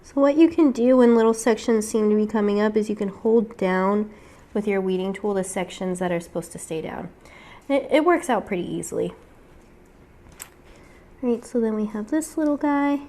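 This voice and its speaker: A woman hosts a casual crafting tutorial, her mid-pitched voice a little weak as she gets over a cold. She explains each step in a loose, chatty way and thinks aloud, with frequent fillers along the way. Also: moderate pace, warm tone.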